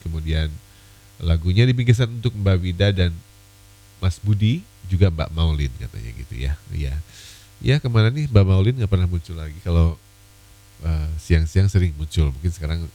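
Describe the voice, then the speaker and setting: A man hosting an evening radio request show, a little hoarse from a cold, chatting casually with many fillers. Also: low-pitched, dark tone, poor audio. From Indonesia.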